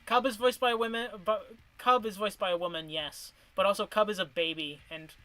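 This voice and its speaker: A man with a high, bright voice chats on a stream, ranking cartoon characters. He wanders into tangents and restarts his sentences often. His voice sounds a little worn from a long session. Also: a little fast, poor audio. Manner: rambling, restarts, animated tangents. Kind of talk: casual chat on a stream.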